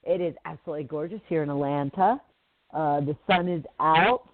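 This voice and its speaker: A woman's voice: low voice, normal speed, no room sound. A middle-aged woman hosting a live talk radio show, chatting casually with a slightly nasal voice and lots of fillers and restarts. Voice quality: nasally from pollen. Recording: tinny phone-like line.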